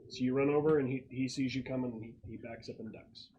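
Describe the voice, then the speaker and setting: A man's voice: medium pitch, fairly even intonation, quick pace, muffled recording, a crowd in the background. A man casually refereeing a tabletop game as game master, explaining rules and describing the action. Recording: clicky, noisy tabletop room recording.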